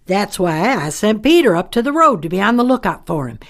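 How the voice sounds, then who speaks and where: A woman's voice: mid-range voice, big pitch swings, brisk. An actress playing a scheming, bossy outlaw mother in a comic Western audio drama, with a folksy, commanding American drawl. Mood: scheming, smug.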